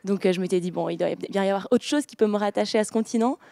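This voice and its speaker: A woman's voice: medium pitch, very fast, dark tone, no echo. A young woman from France, an author answering interview questions with many hesitations and restarts. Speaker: author guest. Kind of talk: interview conversation.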